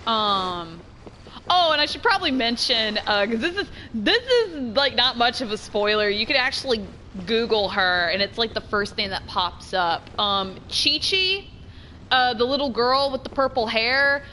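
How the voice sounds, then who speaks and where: A woman giving casual let's-play commentary, chatty and excitable, thinking out loud as she plays. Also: on the high side, unhurried, slight background noise. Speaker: gaming streamer. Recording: game music behind. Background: game music.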